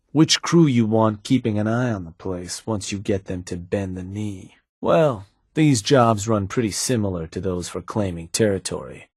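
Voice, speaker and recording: An actor playing a laid-back middle-aged raider advisor in a video game, talking in an easygoing, scheming drawl. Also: male voice, lowish voice, a little mellow, slightly rough, no echo, clean studio sound. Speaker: advisor to the player's raider gang.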